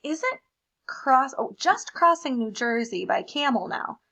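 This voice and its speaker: A woman's voice: high voice, animated, measured pace, creaky, sharp tone, full character voice. A woman voicing a dramatic, clueless crayon in an exclamatory, emphatic storybook read-aloud. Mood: cheerfully clueless. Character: dramatic, clueless, left-behind neon red crayon. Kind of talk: expressive picture-book read-aloud.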